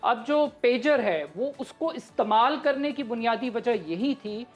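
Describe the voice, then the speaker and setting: A woman with a Pakistani accent speaks in a fairly high voice. She delivers live political commentary as an analyst, explaining each point with emphasis, with music underneath. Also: lively intonation, a little slow, highs cut off. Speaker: analyst on a current affairs show.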